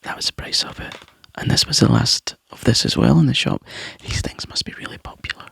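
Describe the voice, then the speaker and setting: Whispering Scottish man, gravelly and breathy. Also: mid-range voice, huge pitch swings, a little fast. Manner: soft unvoiced whisper, rambling and chatty. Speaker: ASMR YouTuber. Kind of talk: whispered ASMR chat.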